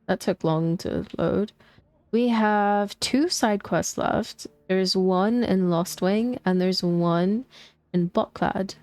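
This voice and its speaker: A woman's voice: mid-range voice, slow, darkish tone, no room sound. A young woman with a posh British accent tinged with American, chatting casually and laughing often while streaming a game. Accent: posh British with slight American twinge. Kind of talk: casual chat while gaming.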